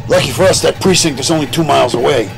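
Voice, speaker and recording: A man's voice: on the high side, quick pace, creaky. A man playing a loyal, capable investigator sidekick in old-time radio drama, earnest and urgent. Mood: uneasy.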